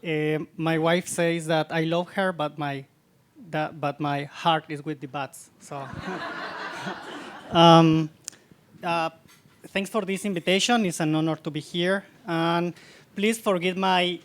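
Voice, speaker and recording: A man from Guatemala with a Guatemalan accent giving a public science talk, halting often and repeating words as he searches for them. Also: on the high side, steady tone. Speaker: guest lecturer, scientist.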